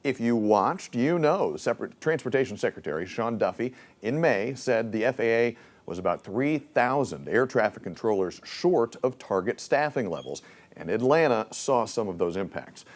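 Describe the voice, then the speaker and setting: A man's voice: mid-range voice, lively intonation, moderate pace. A man reporting live for television news from a newsroom in a broadcast reporting style.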